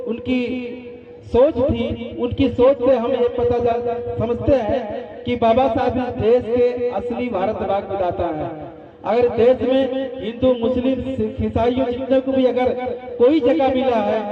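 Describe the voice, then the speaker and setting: A man giving an earnest public speech at a commemoration, appealing to the audience and making pointed remarks. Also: very high-pitched. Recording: muffled echoey hall from far off, crowd behind.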